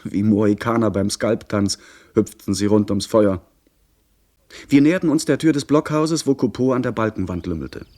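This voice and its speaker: A man playing an earnest young gentleman who narrates his pirate adventure in measured, literary German radio-drama style. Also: lowish voice, lightly acted. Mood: watchful, recounting.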